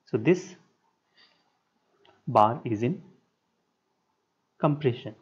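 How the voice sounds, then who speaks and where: A man giving a structural engineering lecture over a whiteboard screen recording, speaking in short, halting, measured phrases. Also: medium pitch, expressive, breathy edge. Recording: dull lo-fi sound.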